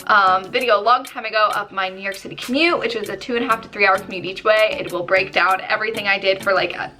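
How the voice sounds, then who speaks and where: A woman in her twenties from New Jersey, slightly hoarse and losing her voice, telling a story in a chatty vlog full of ums and likes. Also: mid-range voice, steady tone, fast, pushed and bright, soft background music. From New Jersey, USA. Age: 20s. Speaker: YouTuber.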